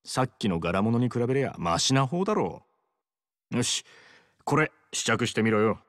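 A male actor voicing a cocky, teasing, loyal young yakuza in a Japanese video game, talking in rough slang. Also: medium pitch, animated, moderate pace, fairly bright tone, no room sound, studio quality, natural voice. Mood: brisk, opinionated. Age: young adult.

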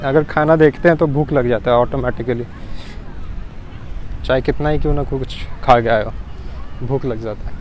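A man's voice: medium pitch, darkish tone, slight background noise. Chatty young man vlogging on a beach. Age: young adult. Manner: chatty, teasing, mixes in English phrases. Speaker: travel vlogger. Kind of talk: casual vlog chat. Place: windy beach outdoors, then beach cafe. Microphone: lapel mic, selfie camera held at arm's length.

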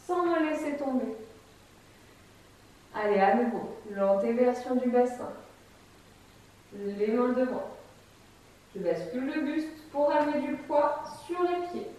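A woman in her thirties leading a guided chair exercise class, calmly cueing each step while she demonstrates. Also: sits high, slow, pauses often. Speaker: fitness instructor. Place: bright empty room with wooden floor. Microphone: camera mic from a distance. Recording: echoey room, voice far from mic.

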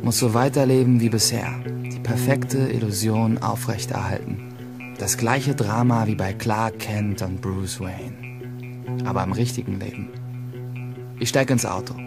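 A man acting a stressed, secretive teenage schoolboy narrating his own life in a radio play, with a husky, gravelly voice. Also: lowish voice, fairly even intonation, a little slow. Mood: weary, resigned. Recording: dry studio, faint music behind.

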